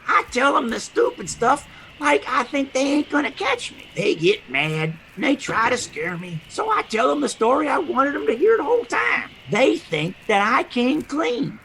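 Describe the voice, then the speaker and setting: A folksy homeless street character, wily and good-hearted, in an audio drama. The voice is high and very creaky, swooping up and down with playful, mangled grammar. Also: a bit bright, exaggerated voice. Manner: folksy, playful, ungrammatical drawl with mangled words.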